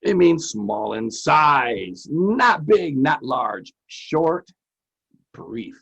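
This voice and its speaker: Animated, gravelly man hosting a lesson. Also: a little high, drawn-out words, muffled recording. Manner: folksy, playful, repeats himself. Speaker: host and music teacher. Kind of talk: casual, chatty educational presenting.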